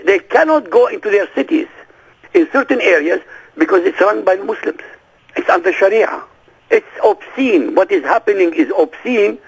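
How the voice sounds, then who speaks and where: A man whose first language is Arabic, a guest on a radio interview, who talks forcefully and emphatically and keeps firing off rhetorical questions. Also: high-pitched, gravelly, breathy edge, low-quality recording.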